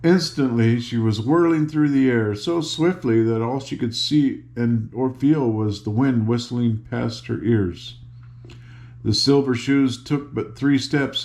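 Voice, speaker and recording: An older man reading a children's book aloud in an unhurried, informal, grandfatherly way, with a gravelly voice and casual asides. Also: medium pitch, a little mellow. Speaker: storybook reader and host.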